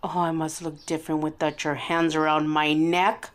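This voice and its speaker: A woman playing a vengeful undead murder victim in a horror radio drama, speaking with deliberate, taunting menace. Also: sits low, flat delivery, close to an everyday voice.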